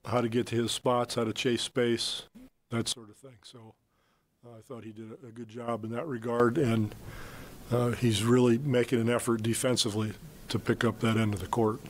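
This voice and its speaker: An older man, a basketball head coach, answering reporters after a game in a press conference room at a desk mic, measured and plain-spoken with frequent fillers and restarts. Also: medium pitch, flat delivery. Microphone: desk mic on stand.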